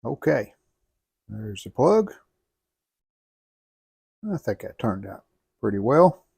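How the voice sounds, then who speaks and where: A man with an American accent and a noticeably creaky, gravelly voice talks through a repair job unscripted. He takes his time, speaking very slowly in a folksy, plain way. The sound is close and dry, with little room around it. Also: fairly low pitch, very animated, dark tone, no echo. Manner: folksy, plainspoken, thinking aloud while working. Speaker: host of a radio restoration channel. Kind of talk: unscripted hands-on repair narration.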